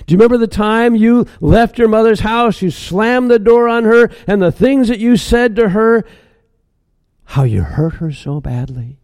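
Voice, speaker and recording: A compassionate stranger who presses a woman with pointed questions, as a male preacher acts him out. The voice is high and warm-toned, and earnest. It sounds close and dry. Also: animated, moderate pace, smooth, no echo. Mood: stern.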